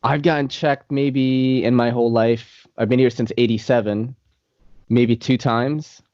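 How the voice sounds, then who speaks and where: A man's voice: medium pitch, steady tone, a little slow, darkish tone, no echo, lo-fi sound. A man in his late thirties hosting a casual podcast, laid-back, laughing often and filling his talk with "like" and "man". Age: 30s. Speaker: podcast host. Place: New York City.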